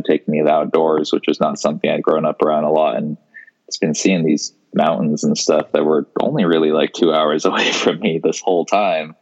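Very deep, flat-voiced young man. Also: highs cut off. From Seattle, Washington, US. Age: 20s. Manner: laid-back, many fillers and restarts. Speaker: podcast guest, photographer. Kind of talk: casual interview chat.

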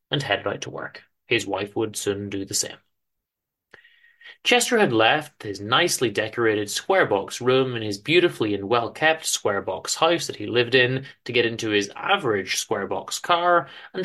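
A man giving a dramatic reading of a short story, in a dry, measured, formal manner. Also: mid-range voice, fairly bright tone. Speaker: author-narrator reading his own story on a podcast.

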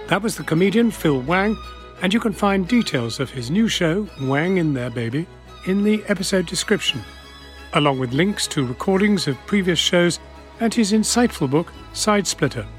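A man's voice: high voice, lively intonation, moderate pace, soft background music. An older man hosting a studio interview, who asks probing questions in a formal, courteous way. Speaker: radio interviewer, host.

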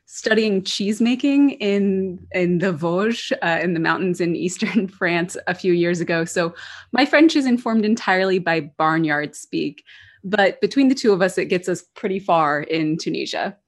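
A woman guest on a podcast interview, telling the story in a lively, wry way. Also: on the low side, normal speed. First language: English.

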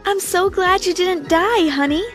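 A woman voicing a sweet, devoted teenage girlfriend in an animated story, speaking earnestly and affectionately. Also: very high-pitched, measured pace, soft background music. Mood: earnest, loving.

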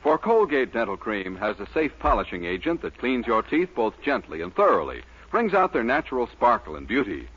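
A man reading a radio commercial as announcer, in a husky voice. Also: medium pitch, big pitch swings, a little fast, hardly any pauses, a bit bright. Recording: dull old radio recording.

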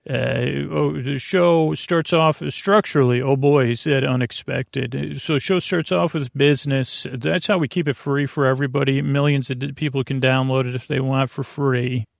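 A man with a creaky voice hosting a bedtime podcast in a halting, meandering monologue that keeps digressing and circling back. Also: mid-range voice, fairly even intonation, no room sound, clean studio sound. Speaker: podcast host.

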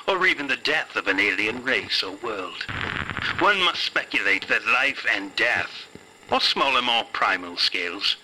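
A man's voice: mid-range voice, bright, forceful tone, husky, full character voice, low-quality recording. A man voicing an eerie, erudite spirit who lectures grandly through a radio-like ghost box in a tabletop game. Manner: grandiose, musing, professorial. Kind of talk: theatrical in-character monologue.

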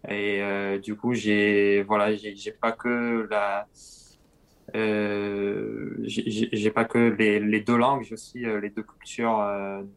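A young man with a slight southern French accent, answering interview questions in a hesitant way with many pauses and fillers. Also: lowish voice, monotone, clean tone. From southern France. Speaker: guest, pro cyclist.

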